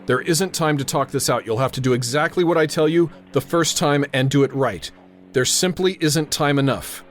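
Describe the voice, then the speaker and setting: An actor playing a tense, authoritative airfield controller in a radio drama, narrating and giving orders with clipped urgency. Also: male voice, fairly high pitch, moderate pace, slight background noise, lightly acted. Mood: firm, commanding. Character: tense, commanding male airfield tower controller narrating a crisis.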